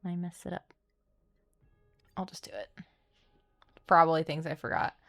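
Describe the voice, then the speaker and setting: A woman's voice: sits low, moderate pace, creaky, a bit bright, soft background music. A woman livestreaming a game, thinking aloud in a casual, playful way. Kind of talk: casual gameplay commentary.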